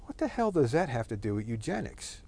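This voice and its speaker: A man's voice: mid-range voice, very animated, brisk, vocal fry, a little mellow, husky. A man from Texas talking in a rambling, profane monologue full of fillers and digressions. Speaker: solo talker.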